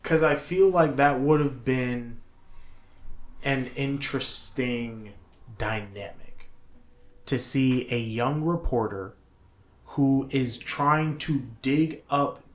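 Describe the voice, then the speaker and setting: A man co-hosting a casual movie review, opinionated and emphatic, halting with pauses as he talks and reads from notes. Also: mid-range voice, echoey room. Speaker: show co-host.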